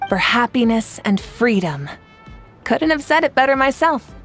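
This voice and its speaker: A hearty, banquet-loving woman of a fantasy tribe. Her voice sits a little high and has an easy, good-natured familiarity. Game music plays faintly underneath. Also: animated, measured pace, a bit bright. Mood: jovial, admiring. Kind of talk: video game voice acting.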